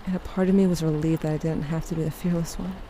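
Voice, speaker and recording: Woman as low-voiced, guarded, grieving teen narrator. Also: level tone, fast, mellow, slight background noise, close to an everyday voice. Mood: grieving, haunted. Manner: introspective, confessional, wry. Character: guilt-haunted, guarded teenage narrator grieving a dying loved one. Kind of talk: first-person audio drama narration.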